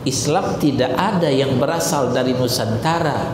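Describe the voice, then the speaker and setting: A man from Indonesia preaching a Ramadan sermon, asking rhetorical questions and slowly reciting Arabic verses. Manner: rhetorical questions, wide swings in pitch and loudness. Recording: echoey hall with loud room noise.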